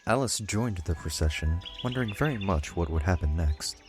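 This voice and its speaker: Deep-voiced male storybook narrator. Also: animated, normal speed, over music. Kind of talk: scripted audiobook storytelling.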